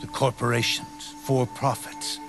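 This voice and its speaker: An actor playing an aging, world-weary soldier in a video game cutscene, speaking gravely and deliberately with dramatic pauses. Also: male voice, level tone, lightly acted. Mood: solemn, explaining. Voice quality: deep, gravelly. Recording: loud music under the voice. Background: loud music.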